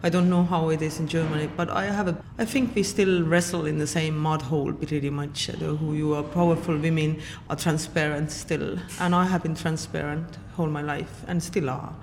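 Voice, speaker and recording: A woman in her forties from Estonia with an Estonian accent, answering interview questions as a saxophonist in a reflective, halting way with many fillers. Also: sits low, steady tone, darkish tone. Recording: long hall reverb, faint noise.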